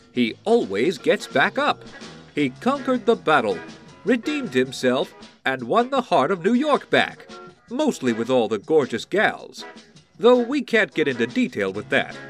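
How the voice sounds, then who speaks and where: A male narrator delivers comic-book drama like a theatrical announcer. His voice is bright and animated, taking its time over each phrase, with faint music behind. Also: high voice.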